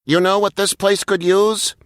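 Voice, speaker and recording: Storybook inventor robot, played by a man: high, excitable, exclamatory, full of eager enthusiasm. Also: unhurried, clean tone, dry recording, studio quality.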